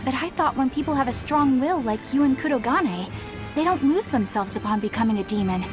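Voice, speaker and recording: Proper young warrior girl; high, lively, earnest game delivery over prominent music. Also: a little fast. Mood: thoughtful. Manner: earnest, expressive. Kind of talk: English-dubbed video game cutscene acting. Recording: dramatic game music behind the voice.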